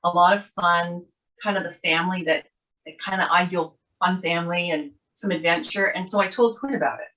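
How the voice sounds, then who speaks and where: A woman's voice: fairly low pitch, brisk, sharp tone, low-quality recording. An older woman from Canada, a guest author, chatting in an interview and telling her life story in a rambling way with asides, over a video call. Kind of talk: casual interview chat.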